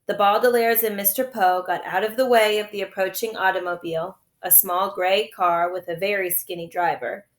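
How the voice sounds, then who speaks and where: A woman's voice: medium pitch, steady tone, normal speed, few pauses. A woman narrating a children's storybook as a teacher reading aloud. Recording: echoey room.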